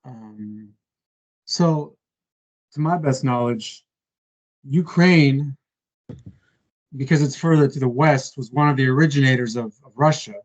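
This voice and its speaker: A man's voice: mid-range voice, measured pace, pauses often, lo-fi sound. A man casually chatting on a video call, thinking aloud with many fillers and hedges. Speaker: conversation partner.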